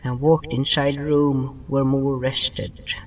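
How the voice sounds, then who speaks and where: A man's voice: mid-range voice, level tone, slow pace, recorded from a distance. A man narrating a scripted first-person story in a dramatic manner, often dropping his articles. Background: music.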